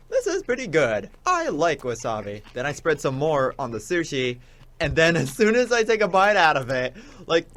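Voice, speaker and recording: A man's voice: fairly high pitch, fairly bright tone. A young man chatting casually as a guest on a radio show, telling stories in a rambling, hesitant way. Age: young adult. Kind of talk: casual chat.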